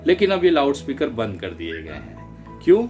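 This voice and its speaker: A man's voice: mid-range voice, huge pitch swings, normal speed, a little mellow. A man giving political commentary straight to viewers, in an emphatic, indignant and rhetorical manner. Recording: music bed under the voice. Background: background music.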